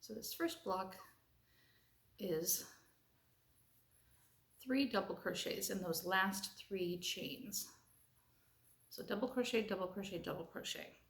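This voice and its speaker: Sniffly stuffy-nosed woman doing a chatty crochet how-to, middle pitch, roomy echo. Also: slow, pauses often, a bit bright. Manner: chatty, enthusiastic, friendly. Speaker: crochet tutorial host. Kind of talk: casual crochet tutorial.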